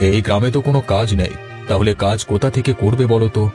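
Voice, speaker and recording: A man playing a low-voiced kindly village elder. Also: fast talker, music in the background, natural voice. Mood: troubled, sorrowful. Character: kindly, worried older male village landlord. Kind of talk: Bengali fairy-tale cartoon dialogue.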